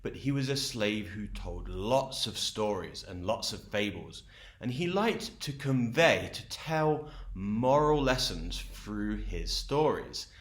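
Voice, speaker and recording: A man reading a children's story aloud as host and storyteller, in an expressive, explanatory way. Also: on the low side, takes it slowly, slightly rough.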